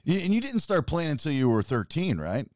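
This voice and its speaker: Animated man hosting a podcast. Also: on the high side, fairly quick, no room sound, studio quality. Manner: enthusiastic, talks with his hands. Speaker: podcast host. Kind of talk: casual interview chat. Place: home studio room. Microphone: studio mic with headphones.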